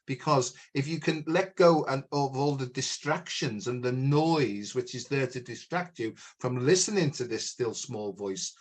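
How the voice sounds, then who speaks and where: Loud booming man with an emphatic delivery. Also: measured pace, few pauses. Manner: emphatic, frank, says "you know" often. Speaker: podcast host. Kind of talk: casual spiritual conversation.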